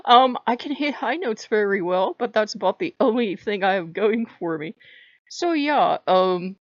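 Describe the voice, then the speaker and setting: Chatty woman with a high voice. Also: lively intonation, unhurried, no room sound, muffled recording. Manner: chatty, rambling, laughs often. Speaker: spiritual vlogger. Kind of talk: casual talk to camera.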